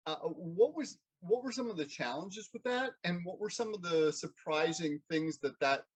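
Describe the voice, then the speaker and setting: Slightly husky man hosting an interview. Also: sits high, expressive, normal speed, poor audio. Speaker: interviewer, host. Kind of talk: conversational interviewing.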